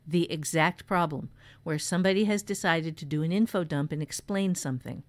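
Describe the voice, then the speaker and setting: A woman's voice: sits low, a little fast. A woman co-hosting a podcast, giving blunt, emphatic writing advice in a conversational way. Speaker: writing teacher and podcast co-host.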